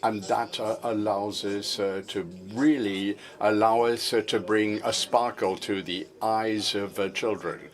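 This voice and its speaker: Low-voiced man interpreting a finance report. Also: steady tone. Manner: measured, formal, frequent uh fillers.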